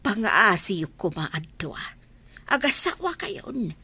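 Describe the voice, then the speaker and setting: An aging mother in an Ilocano radio drama, pressing for what she wants. Her pitch moves expressively up and down, and the recording is dry with no room sound. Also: sing-song, slow, pushed and bright, breathy edge, dry recording, exaggerated voice. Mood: pleading. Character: aging, pleading mother longing for grandchildren.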